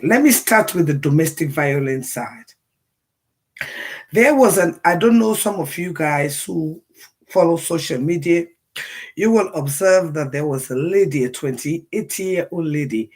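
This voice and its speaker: A hoarse woman from Sierra Leone with a Sierra Leonean accent, talking casually on a livestream and repeating phrases for emphasis. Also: low-pitched, a little mellow. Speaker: livestream commentator.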